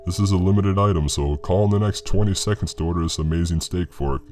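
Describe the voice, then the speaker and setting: A man voicing a masked killer as a falsely cheerful infomercial salesman, with a deep, distorted voice. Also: fast, a little mellow. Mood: cheerful sales pitch. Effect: distorted TV voice filter. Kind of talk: infomercial parody in horror narration. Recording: music behind.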